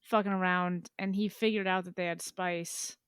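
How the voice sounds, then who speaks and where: A woman co-hosting a podcast in casual chat, blunt and quick to swear. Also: lowish voice, fairly even intonation, smooth, no echo, clean studio sound. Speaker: podcast co-host.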